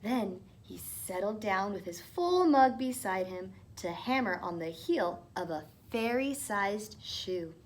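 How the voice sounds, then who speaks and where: A woman's voice: mid-range voice, lively intonation, takes it slowly, few pauses. A woman narrating a children's storybook as a teacher reading aloud.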